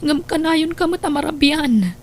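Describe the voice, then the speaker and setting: A woman's voice: sits high, moderate pace, slight background noise, close to an everyday voice. A woman with an Ilocano Filipino accent acting a suspicious, hurt wife and mother in an audio drama. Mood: hurt, accusing.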